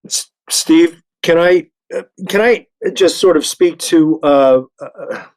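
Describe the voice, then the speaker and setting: A man's voice: fairly high pitch, takes it slowly, darkish tone. A middle-aged or older man speaking as a panelist, with stammering, hesitant starts and repeated words. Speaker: panelist, veteran artist.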